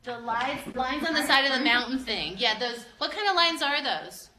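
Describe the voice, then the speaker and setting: A woman with a bright voice leads a class through an art discussion. She is encouraging and asks plenty of questions. The recording is roomy and echoey, with some light noise behind her. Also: high-pitched, lively intonation, moderate pace. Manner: encouraging, prompting with questions, repeats answers back. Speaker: museum educator teaching children.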